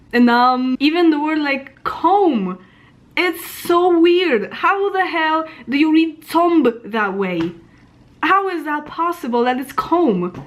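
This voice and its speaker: High-voiced young Polish-accented woman. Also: a bit bright. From Poland. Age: 20s. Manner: informal, self-deprecating, musing aloud. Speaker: vlogger. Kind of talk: casual chat about pronunciation.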